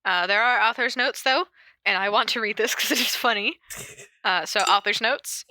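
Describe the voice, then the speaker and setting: A woman hosting a casual podcast, reading stories aloud and chatting between them. Her voice is bright and forward, with a very dry, close sound. She keeps an informal, giggly manner. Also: a little high, moderate pace, dry recording, poor audio. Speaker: podcast host reading fan fiction aloud. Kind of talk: casual chat and cold reading of fan fiction.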